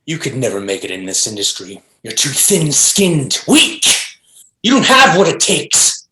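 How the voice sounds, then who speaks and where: A menacing, scornful gunman acted by a woman in a screenplay read, taunting and dramatic. The delivery is slow and swings very widely in pitch. It sounds sharp and forceful, in an echoing room. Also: huge pitch swings, heavily acted. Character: menacing, scornful male rifleman turning panicked when attacked. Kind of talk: screenplay table read acting.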